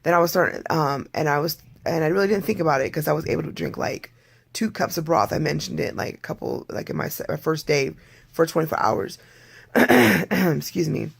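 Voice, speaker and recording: Hoarse, gravelly-voiced vlogger. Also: medium pitch, lively intonation, brisk. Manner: rambling, candid, frequent throat clearing. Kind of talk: casual video diary.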